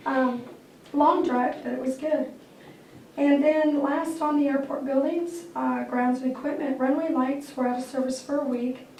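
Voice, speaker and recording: Squeaky lady reading a board meeting report, kinda flat, echoey room far from the mic. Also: measured pace, a little hiss or hum. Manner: matter-of-fact, clears throat often. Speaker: airport manager giving a report. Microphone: distant room mic.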